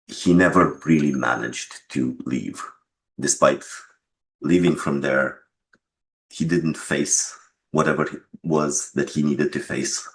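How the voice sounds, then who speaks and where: Very deep-voiced man, noisy recording. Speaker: game developer being interviewed. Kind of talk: explanatory interview talk.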